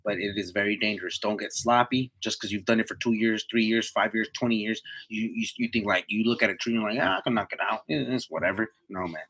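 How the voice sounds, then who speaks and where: A man's voice: on the low side, fast, few pauses, fairly bright tone, poor audio. A man in his twenties chatting casually to live viewers in a slangy, motivational way, repeating himself for emphasis. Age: 20s. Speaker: livestream host, tree climber.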